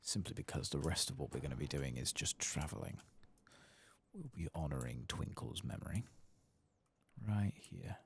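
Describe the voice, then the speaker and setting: A man doing soft-spoken ASMR game commentary, husky and breathy, often slipping into a whisper, with casual, self-mocking muttering. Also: rumbling low, animated, moderate pace, a little hiss or hum. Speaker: host of a game playthrough.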